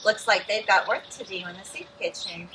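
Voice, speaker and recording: A woman's voice: medium pitch, background noise. A woman hosting a read-aloud, expressively reading a picture book to young listeners. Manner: expressive storytelling, addresses young listeners, pauses for picture asides. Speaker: children's read-aloud host.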